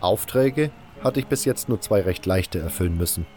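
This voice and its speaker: A man playing a fantasy convict who recounts camp life in measured, matter-of-fact first-person audio drama narration. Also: low-pitched, animated, darkish tone, soft background music. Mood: matter-of-fact recollection.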